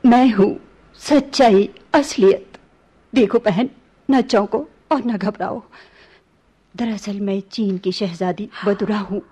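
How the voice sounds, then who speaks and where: A woman's voice: medium pitch, slow pace, warm tone, slightly rough. A woman playing a resolute princess disguised as a prince in a radio play, speaking formally and earnestly. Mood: reassuring. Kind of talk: Hindi-Urdu radio play acting.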